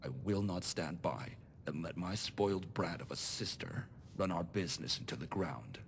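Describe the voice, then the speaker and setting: Scheming illegitimate lord for fantasy game, male actor: very low, gravelly, formal and composed, faint rumble behind. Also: normal speed. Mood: cool, resolute. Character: scheming illegitimate nobleman, calculating, resentful, self-made. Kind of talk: scripted video game dialogue.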